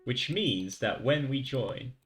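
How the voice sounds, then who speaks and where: A man's voice: medium pitch, lively intonation, takes it slowly, fairly bright tone, faint music behind. A young man from France with a light French accent, thinking aloud as he codes on a livestream and chats with his viewers. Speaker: streamer. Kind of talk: casual coding livestream.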